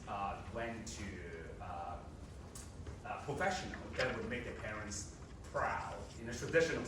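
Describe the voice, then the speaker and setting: Man in his thirties, echoey room. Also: medium pitch. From Hong Kong. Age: 30s. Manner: conversational, addressing the audience directly. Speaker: guest speaker. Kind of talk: public talk to students. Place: lecture room. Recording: roomy, distant mic with background noise.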